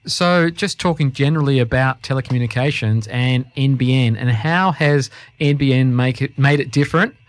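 A man co-hosting a studio interview, asking questions in a measured way with frequent ums. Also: medium pitch, no room sound. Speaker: co-host.

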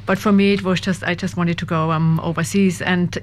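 Soft-spoken Austrian woman guest. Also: fairly low pitch, flat delivery, brisk. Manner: soft-spoken, laughs often, hesitant with many ums. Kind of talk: interview conversation.

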